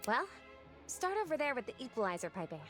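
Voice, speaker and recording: A woman's voice: fairly high pitch, very animated, fast talker, fairly bright tone. An actress playing an eager, bookish young female tinkerer in a game, articulate and enthusiastic. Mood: excited. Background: music.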